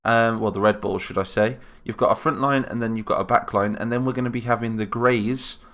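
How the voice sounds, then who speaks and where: A man's voice: lowish voice, fairly even intonation, fairly quick, a bit bright. Nasal man with a cold. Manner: chatty, rambling, self-correcting. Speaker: game commentator. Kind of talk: casual gameplay commentary.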